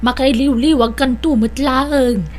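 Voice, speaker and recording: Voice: high-pitched woman playing caring mother. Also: steady tone, a little slow, a little hiss or hum. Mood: consoling. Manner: soothing, advising. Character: caring, consoling mother of a grown son. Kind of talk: Ilocano audio drama acting.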